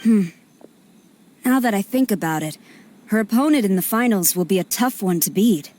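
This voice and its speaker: Stern formal female general, mid-pitched, voiced by a woman. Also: lively intonation, moderate pace, darkish tone, a little hiss or hum, natural voice. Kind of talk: game cutscene voice acting.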